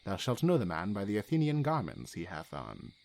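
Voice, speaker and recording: A man's voice: lowish voice, wildly swooping pitch, a little fast, creaky, a little mellow, full character voice. Man playing a theatrical fairy king, wildly swooping delivery. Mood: commanding. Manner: theatrical, declamatory. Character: commanding fairy king, scheming and incantatory. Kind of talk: classical Shakespearean verse acting.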